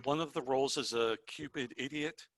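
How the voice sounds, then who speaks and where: A man joking and playing with words in a casual video-call chat. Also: medium pitch. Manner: joking, quick with wordplay.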